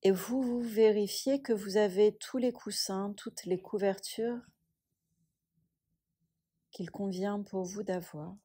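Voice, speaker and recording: A woman instructor guiding a yoga relaxation meditation, speaking softly and soothingly in a breathy, hushed voice with long pauses. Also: medium pitch, steady tone.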